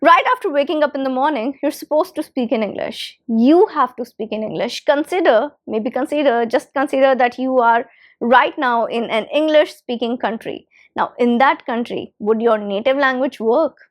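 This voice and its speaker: High-voiced woman teaching spoken English. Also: moderate pace. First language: Hindi. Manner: emphatic, repeats key phrases. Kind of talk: instructional talk to camera.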